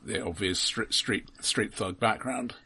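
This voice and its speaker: A man's voice: lowish voice, unhurried, sharp tone, slightly rough. A man co-hosting a casual film chat, stammering as he shares background facts. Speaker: podcast co-host.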